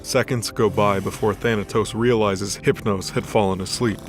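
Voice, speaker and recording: Male narrator, lowish pitch, scripted audio-drama storytelling over a music bed. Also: steady tone, normal speed. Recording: soft background music bed.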